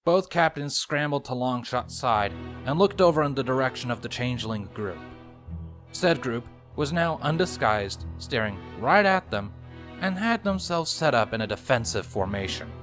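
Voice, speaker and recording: Male storyteller for dramatic fiction narration, medium pitch, light music bed. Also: expressive, normal speed, fairly bright tone.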